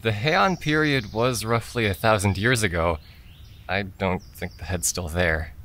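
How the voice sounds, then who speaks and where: A man's voice: lowish voice, measured pace, bright, forceful tone, slight background noise. A man playing a self-doubting young eldest brother in an audio drama, with a hesitant, defensive way of talking. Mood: questioning.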